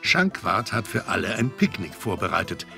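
Deep, creaky male storyteller. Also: sing-song, moderate pace, pushed and bright. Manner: rhetorical, storyteller's suspense. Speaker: narrator. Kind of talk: scripted storytelling for children's audio drama. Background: music.

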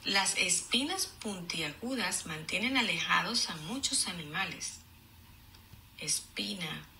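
A woman reads a children's lesson aloud with a sharp, very bright voice. She goes slowly and explains each idea deliberately, as a teacher does. A faint noise sits behind her. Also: fairly low pitch, expressive. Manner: deliberate, explanatory, enunciating for young learners.

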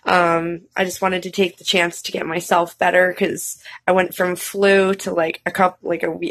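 A woman in her thirties with a head cold, talking casually to a webcam from her couch in a crusty, hoarse voice, rambling and apologetic with lots of "um"s. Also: on the low side, fairly bright tone, no room sound. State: congested with a head cold and a sore, croaky throat. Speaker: streamer and vlogger. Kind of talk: casual chat to camera. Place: living room, seated on a couch. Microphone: webcam at close range.

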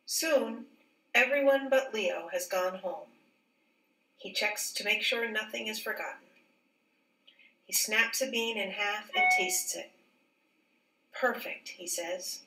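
A woman teacher reading a picture book aloud in a careful, expressive storybook manner. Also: medium pitch, slow, pauses often. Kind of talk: read-aloud storybook narration. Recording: roomy echo, distant mic.

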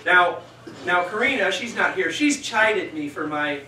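A man speaks off the cuff to a room, rambling through asides and fillers with a bright, forward voice pitched a little high. He has set his mic down, so he sounds distant, with the restaurant's echo around him and a faint hiss underneath. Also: animated, measured pace. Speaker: meeting moderator. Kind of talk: off-the-cuff rebuttal speech. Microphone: handheld mic set down, voice carrying from a distance. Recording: echoey restaurant room, picked up from afar.

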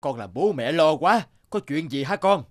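A man's voice: fairly high pitch, expressive, moderate pace, dry recording, clean studio sound, close to an everyday voice. A man voicing a caring, easily surprised middle-aged father in a cartoon, kindly and hesitant, with a Northern Vietnamese accent. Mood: worried. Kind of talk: animated cartoon dialogue.

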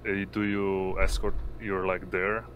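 A man improvising narration as a tabletop game master, halting and searching for words, on a noisy voice-chat microphone. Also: low voice, level tone, muffled recording.

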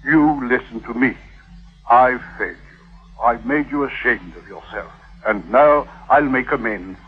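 Marito paterno, voce radiofonica metallica. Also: male voice, mid-range voice, measured pace, slightly rough. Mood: earnest. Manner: formal, paternal, gently insistent. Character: earnest, fussy, doting husband, a Victorian grocer. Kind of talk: 1950s radio acting. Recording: tinny band-limited 1950s radio broadcast.

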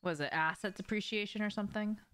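A woman's voice: mid-range voice, fast, fairly bright tone, smooth. A young adult woman casually chatting to viewers as she streams a game, offhand and sweary, often asking questions. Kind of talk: casual chat with viewers while gaming. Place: small room at home, indoors.

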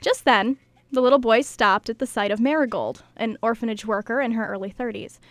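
Woman, radio play narrator, fairly high voice, scripted storytelling, dry close recording. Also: a little fast, no room sound. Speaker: narrator on an amateur radio drama show.

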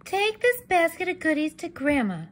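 A woman's voice: very high-pitched, very animated, moderate pace. A woman in her thirties narrating a children's storybook expressively, as a teacher reading aloud.

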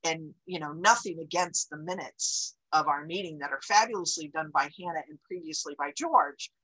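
Woman, bright tone, filler-heavy chatty report, video call mic. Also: sits low, normal speed, breathy edge, low-quality recording. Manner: chatty, many fillers and restarts. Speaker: library director.

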